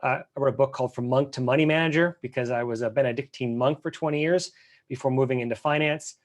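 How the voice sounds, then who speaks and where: A man speaking as a finance panelist on a webinar over a video call, earnest and prone to restarts. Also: mid-range voice. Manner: fast, earnest, with repeated restarts.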